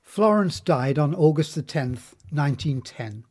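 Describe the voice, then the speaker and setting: A man reading a scripted biographical narration in a measured, formal manner. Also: fairly high pitch, lively intonation, a little mellow, slightly rough.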